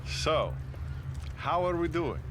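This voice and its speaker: An actor playing a self-important, wry Soviet army major, pompous and drily teasing. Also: male voice, a little high, sing-song, takes it slowly. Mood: smug, wry. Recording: clear background vehicle noise.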